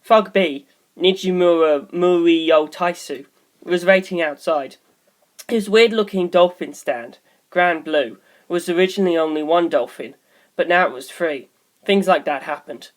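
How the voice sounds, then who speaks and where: A woman's voice: fairly low pitch, a little slow. A woman reading scripted story narration as an audiobook narrator.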